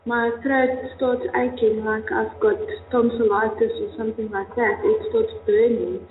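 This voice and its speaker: Young woman, earnest workshop participant, slightly high voice, tinny sound in a reverberant hall. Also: flat delivery, moderate pace. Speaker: voice student and teacher. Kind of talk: candid workshop interview. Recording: tinny, echoey hall with faint hum.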